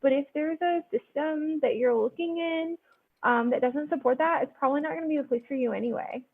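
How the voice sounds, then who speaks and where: A woman's voice: high voice, fairly quick. A woman family physician speaking frankly and chattily in a conversational interview, with lots of fillers, heard over a video call. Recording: tinny phone-like line.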